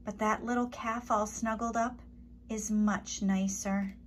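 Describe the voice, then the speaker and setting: Storybook mother hen, played by a woman: downhearted, sighing, gentle, slow delivery. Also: medium pitch, fairly even intonation, a little hiss or hum, natural voice. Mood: wistful, sad. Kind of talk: children's storybook character voice.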